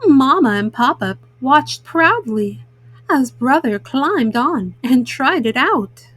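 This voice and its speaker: A woman reading a children's story aloud in an expressive, measured narration for young listeners. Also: sits high, no echo.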